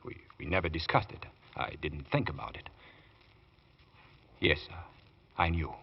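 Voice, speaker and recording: An actor playing a guarded, defensive manservant on the witness stand in an old radio drama, deferential but evasive. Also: male voice, extremely low pitch, very animated, a little slow, gravelly, slightly rough, heavily acted. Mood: guarded, uneasy. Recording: dull lo-fi old radio recording.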